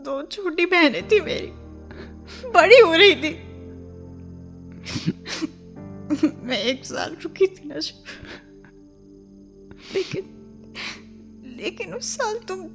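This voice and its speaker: An actress playing a hurt, insistent young wife in an intense, emotionally raw radio drama. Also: female voice, very high voice, animated, takes it slowly, long pauses, fairly bright tone, faint music behind, exaggerated voice. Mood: tearful, pleading. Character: hurt, insistent young wife, once widowed, pleading for love. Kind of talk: Hindi radio drama acting.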